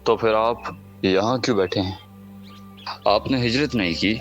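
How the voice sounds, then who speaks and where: A resolute refugee leader who gives orders with quiet authority, as a man voices him. His voice sits a bit low, with music playing underneath this audio drama. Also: normal speed, muffled recording, close to an everyday voice. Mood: firm.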